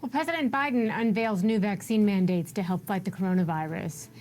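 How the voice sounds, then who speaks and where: American woman news anchor reading headlines, medium pitch. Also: fairly quick, darkish tone, rough recording. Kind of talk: scripted broadcast news reading.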